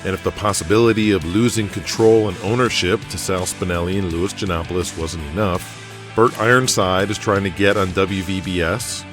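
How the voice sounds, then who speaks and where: A man with a low, deep voice delivers dramatic scripted narration. He tells the story as an audio-drama narrator, with clear music running underneath. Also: steady tone, moderate pace.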